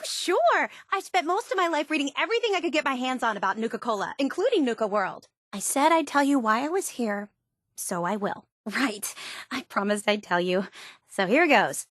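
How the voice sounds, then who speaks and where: An eager young woman, a devoted superfan in a video game. She is high-pitched and excitable, gushing with earnest enthusiasm. The sound is close and dry. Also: no room sound. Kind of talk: video game character dialogue.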